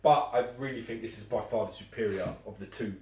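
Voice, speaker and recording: A man's voice: lowish voice, fast, darkish tone. A man reviewing collectible statues in casual chat, blunt and swearing casually. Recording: echoey room, mic at a distance.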